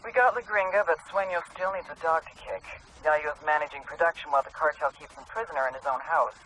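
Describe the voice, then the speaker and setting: A man's voice: on the high side, quick pace, bright, forceful tone, slight background noise, low-quality recording. A man playing a businesslike intelligence handler in a video game, giving terse briefings. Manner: terse, matter-of-fact.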